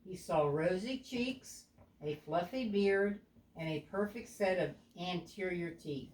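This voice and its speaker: An older woman reads a children's story aloud like a grandmother, deliberately, pausing to explain things to a child. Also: on the low side, expressive, a little mellow. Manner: deliberate, explaining, with stumbles over words. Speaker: grandmother reading a picture book to a child. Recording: echoey room with background noise.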